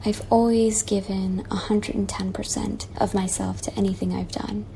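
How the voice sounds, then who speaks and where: Gravelly young woman reading a reflective essay. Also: medium pitch, little pitch movement, mellow, a little hiss or hum. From Malaysia. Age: 20s. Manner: earnest, measured, repetitive refrains. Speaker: guest essayist. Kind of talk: reflective personal essay, read aloud. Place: childhood bedroom at home.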